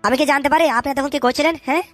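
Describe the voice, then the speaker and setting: A high, extremely fast cartoon boss voice done by a performer, bullying and suspicious. The delivery hectors in coarse slang, full of curses and tag questions, over background music. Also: steady tone, a bit bright, no echo. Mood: angry, suspicious. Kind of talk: comic cartoon dub with street slang.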